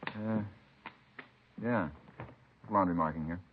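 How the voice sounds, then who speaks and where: A man's voice: sits low, slow, a little hiss or hum. An actor playing a methodical police crime lab man in a vintage radio drama, laconic and matter-of-fact. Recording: muffled vintage radio recording.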